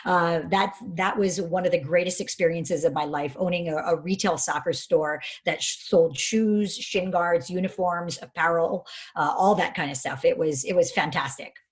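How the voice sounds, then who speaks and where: A woman's voice: fairly low pitch, normal speed, few pauses. A woman in her fifties from Hawaii, a head soccer coach telling stories in a chatty, self-deprecating way with frequent laughs. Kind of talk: casual interview storytelling.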